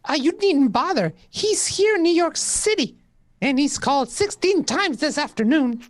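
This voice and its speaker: A fussy household servant, acted by a woman in a radio play. The voice is high and recorded dry, with old-fashioned theatrical delivery. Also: lively intonation, normal speed, dry recording.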